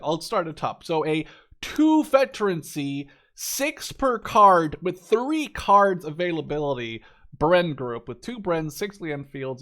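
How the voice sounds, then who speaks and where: A man's voice: on the high side, a bit bright. A man in his twenties giving casual, unscripted game commentary, rambling and thinking aloud with enthusiasm. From Canada or the Netherlands. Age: 20s. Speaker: gaming video creator.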